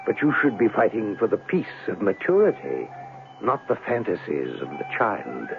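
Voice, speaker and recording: An actor playing a suave, eccentric warlock in a 1970s radio drama, courteous and sly, with ornate theatrical phrasing. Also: male voice, on the low side, wildly swooping pitch, normal speed, gravelly, faint music behind, heavily acted. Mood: sly, soothing. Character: suave, eccentric male warlock and witch doctor. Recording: lo-fi, noisy old radio broadcast.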